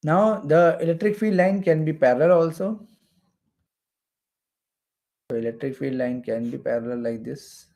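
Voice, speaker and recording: Gravelly male physics teacher. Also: fairly high pitch, moderate pace, long pauses, a little mellow. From India. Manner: repeats phrases for emphasis. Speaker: physics teacher for exam preparation. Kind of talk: explanatory lecture while drawing diagrams.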